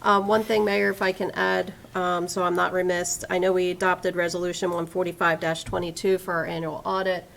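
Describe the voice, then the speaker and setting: Casting: female municipal official, slightly low voice, formal procedural delivery, light background noise on the mic. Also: level tone, brisk, hardly any pauses, fairly bright tone. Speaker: township official at a public meeting. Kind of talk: formal meeting remarks and procedural reading. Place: public meeting room, at a microphone. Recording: clear background noise and clicks.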